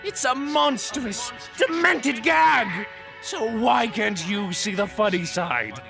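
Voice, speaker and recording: An actor playing a manic, theatrical supervillain clown in a comic dub, unhinged and swinging between shouts and mutters. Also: male voice, extremely high pitch, expressive, slow, gravelly, music in the background, exaggerated voice. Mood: mocking outrage. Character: manic, theatrical middle-aged male supervillain clown madman.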